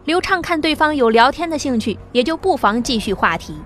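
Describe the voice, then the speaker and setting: A woman narrates an audio drama in a steady storytelling voice. Her pitch sits high. Faint music plays beneath her. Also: a little fast.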